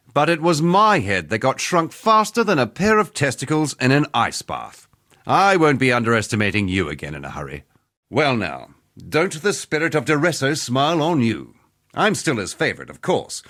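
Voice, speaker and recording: A man's voice: mid-range voice, a bit bright. A man playing a cocky, playful veteran fighter in a video game, jaunty and teasing, with relish for a brawl. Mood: mocking, then jovial, cocky. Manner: jaunty, teasing, colloquial swagger. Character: cocky, playful veteran male arena fighter, jovially bloodthirsty. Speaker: video game character voice actor. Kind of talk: scripted game character dialogue.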